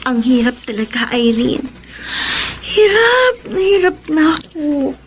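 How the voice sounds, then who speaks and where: Lovelorn young woman, Filipino accent, high voice, slow anguished delivery, radio drama, music underneath. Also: sing-song, exaggerated voice. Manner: slow, sorrowful, emotive delivery. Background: background music.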